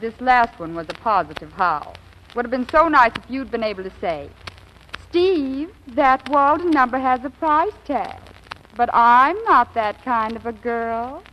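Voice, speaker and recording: Bright, catty blonde in reverberant hall. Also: female voice, a little high, lively intonation, measured pace. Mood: mocking, spiteful. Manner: mocking, affectedly sweet. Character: catty, self-assured glamorous blonde rival. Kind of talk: 1940s radio comedy acting. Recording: reverberant, noisy old radio recording.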